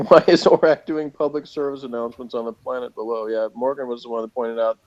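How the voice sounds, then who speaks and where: A man's voice: medium pitch, fairly even intonation, brisk, darkish tone. A man hosting a casual review chat, reading out notes and commenting on them with chuckles. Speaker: review show host. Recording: slightly dull, lo-fi sound.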